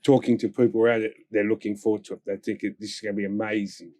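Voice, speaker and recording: A man's voice: fairly low pitch, little pitch movement, fast talker, dark tone, no echo. A man interviewing a guest, asking rambling questions full of fillers and laughing along.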